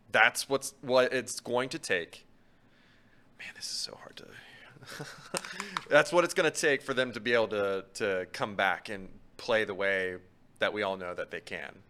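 A man's voice: on the low side, bright, forceful tone, clean tone. A man co-hosting a sports show, talking casually.

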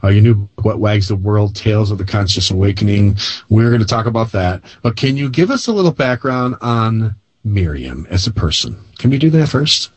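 A man's voice: on the low side, lively intonation, moderate pace, a little mellow, breathy edge, no room sound, poor audio. Chatty man hosting a radio show. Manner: chatty, laughs often, rambling restarts. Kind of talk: casual radio chat.

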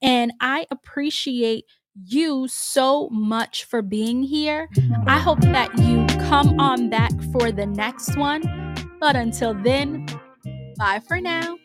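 A woman's voice: sits high, takes it slowly, over loud music. A woman hosting a casual solo podcast, a little nasal from a cold, talking in a chatty, rambling way with restarts. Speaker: podcast host.

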